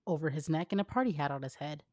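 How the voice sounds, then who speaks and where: A young woman with a fairly low voice reads a story in a casual, wry way. The sound is close and dry, with the informal feel of a co-host sharing fan fiction. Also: fast, a little mellow, dry recording. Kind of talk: informal story narration with banter.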